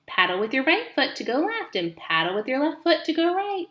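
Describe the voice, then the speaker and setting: Storybook old goose, female, motherly, bossy and chirpy, swooping pitch, roomy sound woman reader acting it. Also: big pitch swings, fairly quick, a bit bright. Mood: encouraging.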